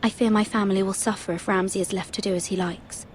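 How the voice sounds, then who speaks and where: A woman's voice: medium pitch, fairly even intonation, fast, slight background noise, lightly acted. A woman playing an earnest young noble handmaiden in a video game, speaking politely and carefully, with anxious deference. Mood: anxious, pleading. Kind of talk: cinematic video game voice acting.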